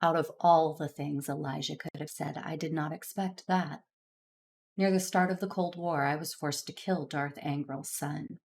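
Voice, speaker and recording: An actress playing a stern, wounded and suspicious Jedi master in a science fiction audio drama. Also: female voice, low-pitched, fairly even intonation, moderate pace, darkish tone. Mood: grave narration. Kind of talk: audio drama narration and dialogue.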